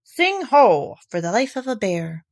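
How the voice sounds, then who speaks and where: A woman's voice: on the high side, big pitch swings, measured pace, a little mellow. A woman voicing a cheerful, simple-minded male teddy bear who talks in an eager, singsong way.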